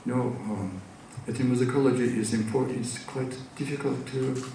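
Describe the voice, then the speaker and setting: A man's voice: mid-range voice, fairly even intonation, moderate pace, mellow, slight background noise. A man from Georgia with a Georgian accent, Georgian his first language, giving an academic lecture at a conference with many hesitations and restarts. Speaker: conference speaker. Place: large conference hall. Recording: echoey hall from far off.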